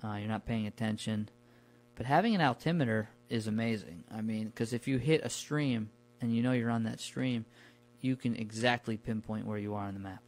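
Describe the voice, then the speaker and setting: A man talking casually and colloquially, telling stories in a relaxed conversational podcast style. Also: on the low side, normal speed. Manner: informal, colloquial. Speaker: podcast guest recounting his camping trips.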